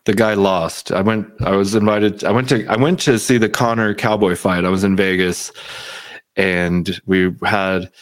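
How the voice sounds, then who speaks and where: A man with a lowish voice chats casually as host. He rambles, swears freely and cracks jokes, often trailing off mid-thought. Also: steady tone, normal speed. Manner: rambling, profane, jokey. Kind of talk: casual chat.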